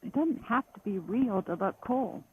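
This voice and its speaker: A woman's voice: mid-range voice, animated, moderate pace. A woman riding pillion, chatting and teasing affectionately with her husband. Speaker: vlogger's wife. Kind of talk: casual chat while riding. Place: outdoors on a motorcycle. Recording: tinny intercom with faint road noise.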